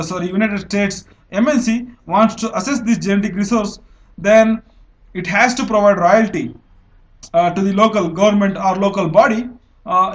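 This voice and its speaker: A young man with an Indian English accent, teaching an exam-prep lecture over slides, explaining step by step with frequent fillers and self-corrections. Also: high-pitched. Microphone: voice-over recorded over screen annotation.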